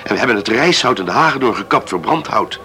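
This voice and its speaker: An actor in a Dutch radio play playing an earnest, sober island farmer. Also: male voice, medium pitch, expressive, fast, bright, forceful tone, a little hiss or hum. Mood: grave, regretful. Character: earnest, plain island farmer, husband, concerned.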